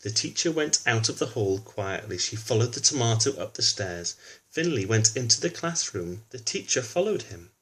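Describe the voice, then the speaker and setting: A man's voice: medium pitch, big pitch swings, normal speed, fairly bright tone, breathy edge, poor audio. A man reads a children's storybook aloud expressively, adding informal explanatory asides for a young listener. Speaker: children's book reader.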